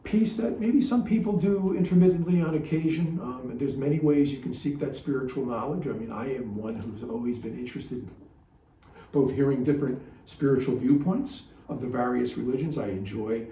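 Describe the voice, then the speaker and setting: A man hosting a podcast, giving a reflective spiritual talk in a halting, rambling way. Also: mid-range voice, warm tone, slight background noise. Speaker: podcast host. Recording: roomy, distant mic, faint music behind.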